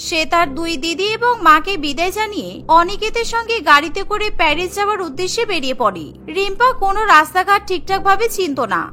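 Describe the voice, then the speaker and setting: Very high, bright female story narrator. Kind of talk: scripted cartoon story narration.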